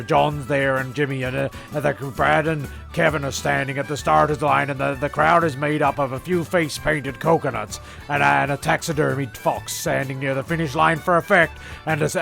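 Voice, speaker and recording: Very creaky, rambling male narrator. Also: medium pitch, fairly bright tone. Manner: hesitant, rambling, repeats himself. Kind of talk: rambling comedy radio play narration.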